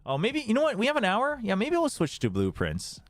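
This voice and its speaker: A man's voice: high-pitched, huge pitch swings, brisk, no echo. A man streaming a watch party, chatting casually with his viewers and laughing often. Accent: slight non-native accent in Korean. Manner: laughs often, chatty with chat, switches into Korean. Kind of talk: casual livestream chat.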